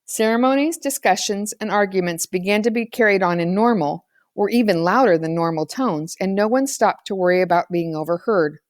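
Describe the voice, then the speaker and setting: A woman's voice: medium pitch, fairly quick, few pauses, dry recording. Woman reading a children's novel, dry sound. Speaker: audiobook reader. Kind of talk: scripted narration of a children's novel, read aloud.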